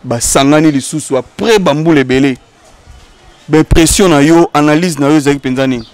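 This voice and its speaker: A man's voice: a little high, brisk, a little mellow, dry recording. A man hosting a studio talk show, thanking the guest, affirming him briefly and steering the topic with questions.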